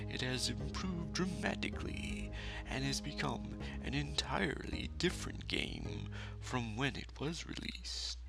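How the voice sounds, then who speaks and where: Slow, creaky man with sweeping pitch. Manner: pompous, dramatic, formal wording. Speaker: video game reviewer. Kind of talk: scripted top-five list narration. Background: music.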